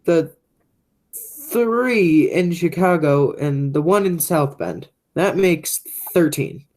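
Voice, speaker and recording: A young woman playing a menacing, stubborn criminal brother, low-voiced. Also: expressive, slow, a little mellow, exaggerated voice. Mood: stubborn, boastful. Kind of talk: radio play acting, high school theatre.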